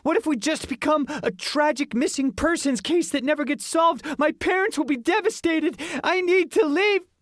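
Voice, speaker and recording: A man voicing a panicky twelve-year-old boy wizard with a squeaky, whiny voice in an audiobook. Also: dry recording, clean studio sound. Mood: panicked. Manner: rapid, whiny pleading.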